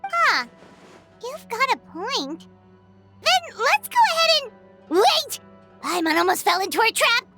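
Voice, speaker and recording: A tiny childlike fairy sidekick from a video game, extremely high and squeaky. The delivery swings wildly in pitch and is cheeky and excitable, with music playing behind. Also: female voice, a little slow, long pauses, heavily acted. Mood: indignant. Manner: refers to self in third person, exclamatory.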